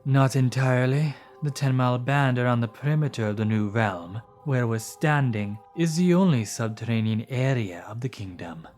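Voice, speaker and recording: A man voicing a sly, mercenary wyvern guide in an animated fantasy, with formal, deliberate phrasing. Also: mid-range voice, faint music behind, close to an everyday voice. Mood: brisk, formal. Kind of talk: animated fantasy drama acting.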